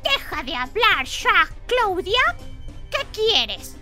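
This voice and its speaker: A woman's voice: very high-pitched, sing-song, very slow, heavily acted. A woman voicing a sly old witch. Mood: curt, scheming. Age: older. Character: old scheming female witch. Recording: loud background music.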